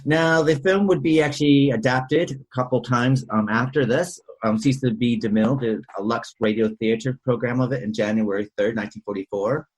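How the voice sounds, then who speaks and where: A man's voice: medium pitch, a little fast, few pauses, darkish tone, breathy edge, rough recording. A man hosting a podcast in a casual, chatty and informative way, discussing films with a rambling delivery. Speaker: podcast host.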